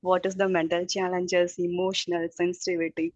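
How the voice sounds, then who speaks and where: Muffled, lower-voiced Indian-accented woman. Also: flat delivery, fairly quick, dry recording. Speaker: childcare teacher introducing herself. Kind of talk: informal self-introduction at a group meeting. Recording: dull, muffled audio.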